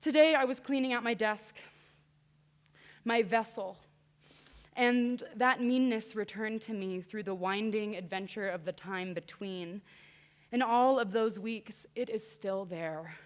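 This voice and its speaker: A woman reading a personal essay aloud to an audience in a reflective, deliberate manner. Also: medium pitch. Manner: slow, reflective, deliberate delivery. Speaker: reader at a literary event.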